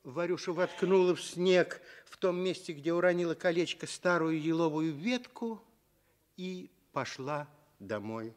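Male fairy tale narrator, high voice, slow, super lively intonation. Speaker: storyteller.

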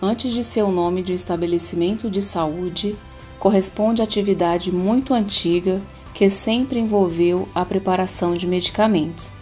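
A woman reading a scripted voice-over about a pharmacy degree in a clear, measured way. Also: mid-range voice, music in the background. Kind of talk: scripted narration.

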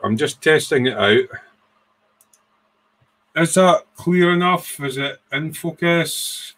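A man's voice: mid-range voice, a bit bright. A man in his sixties with a Scottish accent, hosting a casual, rambling livestream chat in an informal way. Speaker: livestream host, hobbyist. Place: small home workshop room.

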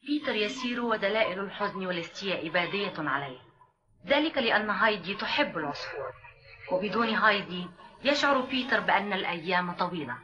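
A woman with a mid-pitched voice reads scripted narration for a dubbed children's cartoon. Her delivery is gentle and storytelling. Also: lively intonation, fast, background noise.

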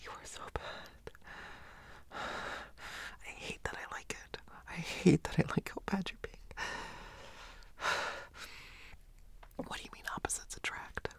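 A woman playing a nervous, pouty student in an intimate roleplay audio, stammering and scolding in a breathy, hushed voice. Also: unhurried, pauses often, no echo, in a whisper, lightly acted. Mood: shy, daring.

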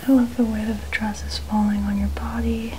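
A young woman whispering softly and breathily in an ASMR styling roleplay, gently narrating small actions as a stylist. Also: a little high, flat delivery, fairly quick, a little hiss or hum, rough recording. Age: young adult. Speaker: ASMR host playing a dress stylist. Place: small indoor studio room. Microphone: small mic clipped at chest.

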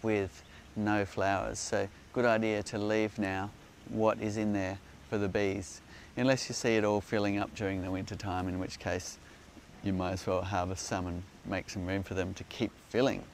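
A man's voice: lowish voice, little pitch movement, normal speed, gravelly. A man in his forties hosting an outdoor beekeeping Q&A, explaining with enthusiasm while he demonstrates in a hillside garden. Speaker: beekeeping company host. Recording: faint outdoor noise.

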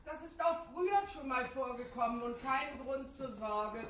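A woman's voice: high-pitched, moderate pace, crowd noise, close to an everyday voice. An actress playing a curt, dismissive aristocratic lady in a radio drama, composed and haughty. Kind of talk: German radio drama acting. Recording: echoey, distant, noisy.

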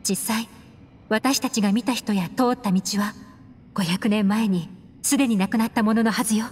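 An actress playing a composed, worldly and enigmatic woman investigator in a video game, with poised, measured speech. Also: mid-range voice, a little mellow, husky, lightly acted. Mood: somber. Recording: long hall reverb, music behind. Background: music.

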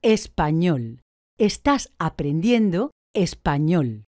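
Slow, mellow woman answering drills. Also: lowish voice, sing-song, no echo, studio quality. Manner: slow, deliberate, clearly articulated answers. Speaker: language course speaker.

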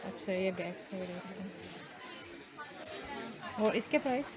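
A woman's voice: mid-range voice, a little slow. A woman vlogging in a shop, asking prices in short questions and repeating the answers. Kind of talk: casual shopping vlog. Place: busy indoor market shop. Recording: loud market crowd behind. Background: loud crowd chatter.

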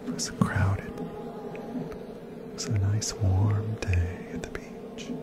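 Middle-aged man, slow ASMR whisper, low voice, loud music bed behind. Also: level tone. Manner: slow soft second-person narration. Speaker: ASMR creator. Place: small dim room with colored lights. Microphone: fluffy windscreen mic on stand.

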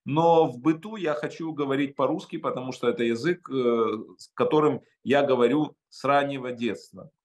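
A man in his fifties from Ukraine, answering interview questions over a video call from a home study, emphatic and halting with many hesitations. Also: medium pitch, a little mellow. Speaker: interview guest.

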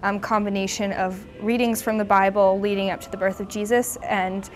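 A young woman in her twenties, a choir member and student, speaking calmly in a wood-paneled office. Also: mid-range voice, a little fast, soft background music. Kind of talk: interview.

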